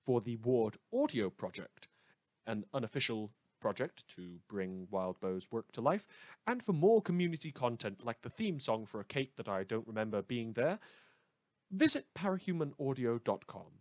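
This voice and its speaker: A man reads out the closing credits of a fan audio show. His pitch moves around a lot, and the sound is dry. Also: very animated, normal speed, dry recording. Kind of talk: scripted credits read.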